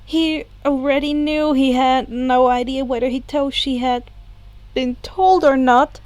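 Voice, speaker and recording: A woman narrating a piece of fan fiction in an expressive amateur storytelling style. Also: high-pitched, unhurried, dry recording. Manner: acts out characters' dialogue.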